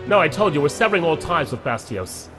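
An actor playing a proud, middle-aged scientist who talks in an indignant, clipped way. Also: male voice, on the high side, big pitch swings, fast, music in the background.

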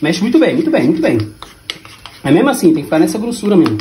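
Animated Brazilian man in an echoey kitchen. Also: sits high, quick pace. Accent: Brazilian Portuguese. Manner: slangy, chatty, jokey. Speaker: home cook and vlog host. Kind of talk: casual, joking cooking demonstration to camera. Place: small home kitchen, indoors. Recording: echoey kitchen, clattering dishes and noise.

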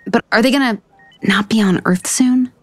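A woman's voice: on the high side, normal speed, natural voice. An actress playing a blunt, sarcastic, self-deprecating woman writer in a naturalistic romantic comedy audio drama. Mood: awkward.

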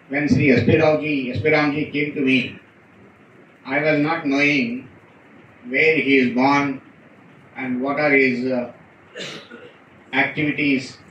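A man's voice: medium pitch, fairly bright tone, dull audio. A middle-aged man with an Indian accent giving a commemorative speech at a podium as a guest speaker. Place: auditorium, indoors. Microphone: podium microphone, recorded from far camera. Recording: echoey hall from far off, noisy.

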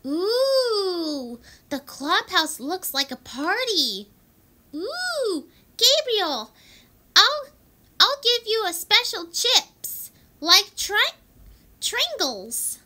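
A young-sounding female amateur voicing an excitable, bossy, cute cartoon girl with dramatic, exclamatory delivery. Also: very high voice, very slow, heavily acted. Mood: pleased. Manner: exclamatory, dramatic, over-enunciated. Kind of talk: amateur voice acting of cartoon lines.

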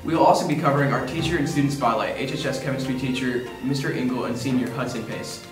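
Fast teen boy news anchor. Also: mid-range voice, steady tone, roomy sound, distant mic, over music, rough recording. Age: teens. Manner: brisk read-aloud delivery. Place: school studio news desk.

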